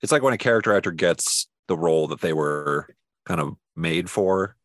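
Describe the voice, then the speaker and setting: A man's voice: low voice, moderate pace. A man talking in a laid-back, hesitant way on a casual podcast. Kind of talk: casual comedic chat.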